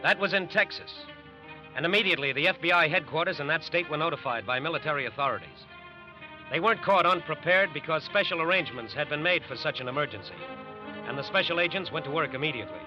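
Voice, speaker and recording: A man with an American accent narrates like an old-time radio crime show. His fairly high voice is declamatory and serious. The vintage broadcast sound is dull and narrow. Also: lively intonation, fast talker, over music. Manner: grave, emphatic, declamatory. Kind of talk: 1940s radio docudrama narration.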